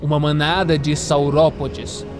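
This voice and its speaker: A man's voice: fairly high pitch, level tone, measured pace. A man with a Brazilian accent narrating a scripted audio drama. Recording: music playing behind the voice. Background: music.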